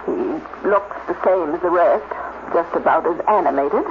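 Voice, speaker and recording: A woman's voice: fairly low pitch, moderate pace, lightly acted. A woman playing a brusque, indifferent scientist in a 1950s radio drama, dry and curt. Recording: tinny, noisy 1950s radio recording.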